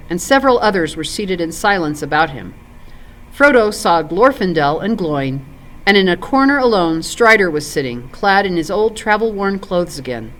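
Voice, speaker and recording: Woman reading aloud with lively, varied intonation. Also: medium pitch, rough recording. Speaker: volunteer story reader and ESL teacher. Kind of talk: read-aloud storytelling for families.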